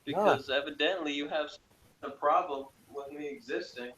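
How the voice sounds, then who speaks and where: A man's voice: fairly high pitch, normal speed, bright, forceful tone, low-quality recording. A man chatting casually as a podcast co-reviewer, with a contrarian, needling way of talking. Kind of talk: casual chat.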